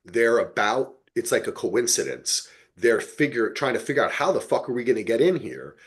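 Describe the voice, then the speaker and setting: A man talks as a podcast host in casual conversation. His voice sits at a medium pitch, and he explains things emphatically in loose, salty everyday language. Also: sing-song, normal speed. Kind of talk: casual chat.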